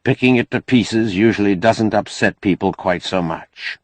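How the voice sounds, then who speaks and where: Male narrator playing cantankerous older intellectual, low and husky, wry, pedantic, audiobook dialogue. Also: moderate pace, no echo, lightly acted. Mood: wry lecturing. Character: cantankerous, learned older man, sardonic lecturing skeptic.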